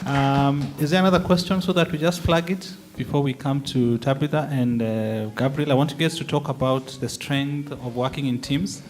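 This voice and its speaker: A man moderates a panel in a theatre hall, keeping things moving with short, encouraging remarks. His pitch is ordinary and middle-range, and he calls on the audience to stand up and ask questions. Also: steady tone, normal speed, few pauses, a faint crowd.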